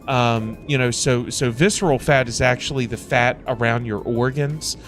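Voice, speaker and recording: A man's voice: mid-range voice, moderate pace, a bit bright. A man hosting a livestream, chatting casually in a rambling way and repeating phrases for emphasis. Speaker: livestream host. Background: music playing in the background.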